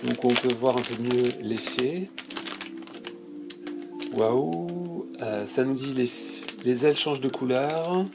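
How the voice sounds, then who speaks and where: Gravelly-voiced man with a head cold. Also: medium pitch, slow, over music. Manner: hesitant, many fillers, describing items as he shows them. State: stuffy from a cold. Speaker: toy unboxing youtuber. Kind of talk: casual unboxing commentary.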